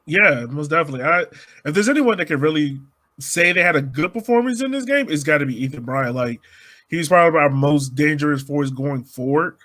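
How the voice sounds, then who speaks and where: A man's voice: on the high side, lively intonation, brisk, sharp tone. A man co-hosting a podcast, chatting casually through soccer analysis. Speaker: podcast co-host.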